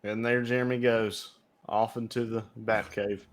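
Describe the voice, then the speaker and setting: Loud-voiced young man co-hosting a podcast. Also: fairly low pitch, flat delivery, a little slow, slight background noise. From United States. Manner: loud voice that carries, chatty storytelling. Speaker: podcast co-host. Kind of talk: casual chat. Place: home, remote recording. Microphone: home podcast mic.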